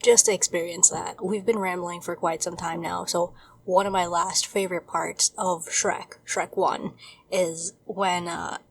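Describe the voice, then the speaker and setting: A woman's voice: on the low side, fairly even intonation, unhurried, creaky, a little hiss or hum. Enthusiastic young woman podcaster. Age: 20s. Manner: enthusiastic, rambling, retells scenes with relish. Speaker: podcast co-host. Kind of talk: casual chat.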